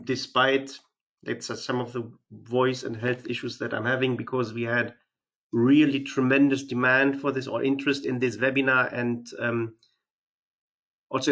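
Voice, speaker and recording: Male webinar host from Germany, voice strained by a cold, measured delivery, lots of ums and restarts. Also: mid-range voice, fairly bright tone. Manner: measured, with frequent fillers and restarts. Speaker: webinar host, company CEO. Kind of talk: software product webinar presentation.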